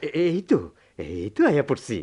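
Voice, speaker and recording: An actor playing a steady, protective village friend in a Bengali radio drama, speaking urgently and expressively in a rural Bangladeshi dialect. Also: male voice, fairly high pitch, brisk, husky.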